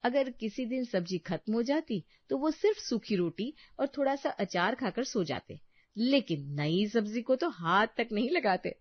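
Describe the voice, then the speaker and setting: A woman's voice: fairly high pitch, lively intonation, moderate pace, dry recording. Woman storyteller, dry muffled recording. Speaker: narrator. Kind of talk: scripted storytelling narration.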